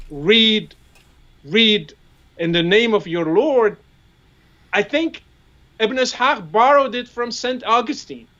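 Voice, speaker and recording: A man with an Arabic accent speaks in a notably high voice, stacking up rhetorical questions and repeating words as he works through an argument. His audio comes through dull and lo-fi, like a remote call. He speaks Arabic natively. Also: fairly bright tone. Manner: repeats words while building points, asks rhetorical questions. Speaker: guest commentator. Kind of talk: discussion on a live show.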